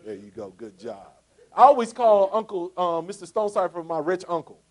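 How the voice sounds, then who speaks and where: Man, 40s, fairly high voice with a very lively pitch range, pitching a business opportunity to a room, jokey, throws rhetorical questions at the crowd. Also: brisk. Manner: animated, jokey, asks the audience rhetorical questions. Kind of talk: motivational sales talk to a live audience.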